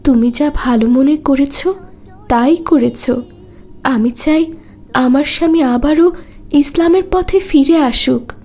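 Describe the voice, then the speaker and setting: A woman playing a devout, caring young wife in a cartoon, polite and gently insistent. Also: high voice, slow, long pauses. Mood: prayerful, pleading. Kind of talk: cartoon dialogue.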